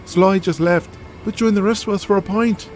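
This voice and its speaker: Guy doing a cocky wrestler needling his rival, high and loud, sweary, audio drama. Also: fairly even intonation, moderate pace, a little hiss or hum, natural voice. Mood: mocking, boisterous. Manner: mocking, profane, needling. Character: sweaty, taunting male pro wrestler, mocking and boisterous.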